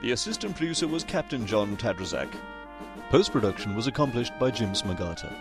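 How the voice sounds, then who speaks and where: An actor with an English accent playing a wry, formal, genteel narrator in a comedic audio drama. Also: male voice, mid-range voice. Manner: formal, polite, droll.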